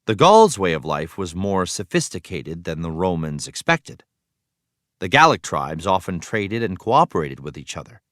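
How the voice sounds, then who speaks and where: A man reading an educational text aloud as a teacher, in an explanatory way with clear phrasing for young learners. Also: low voice, big pitch swings, normal speed, fairly bright tone, no echo, clean studio sound. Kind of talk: read-aloud educational narration.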